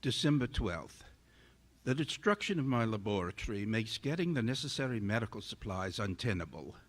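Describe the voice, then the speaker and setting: An actor playing an arrogant, irritable scientist in a radio drama, with formal, pompous, melodramatic diction. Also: male voice, fairly low pitch, big pitch swings, normal speed. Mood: measured, brooding. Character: arrogant, irritable male scientist, secretive and obsessed. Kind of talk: theatrical radio drama acting, journal narration.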